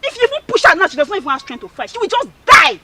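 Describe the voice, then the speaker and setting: An actress playing a sharp-tongued young village woman who mocks her rival. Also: high voice, animated, moderate pace. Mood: indignant. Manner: mocking, taunting. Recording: loud background noise.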